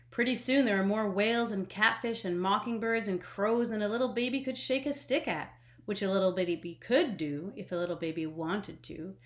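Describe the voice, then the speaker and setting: A woman reading a children's storybook aloud expressively, with dramatic emphasis and hushed phrase endings. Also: medium pitch. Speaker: storybook reader. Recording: echoey room.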